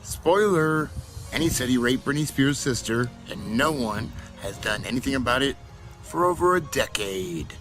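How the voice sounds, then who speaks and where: A man narrating a researched commentary video in a measured, explanatory way. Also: mid-range voice, animated, over music. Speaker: YouTube commentator.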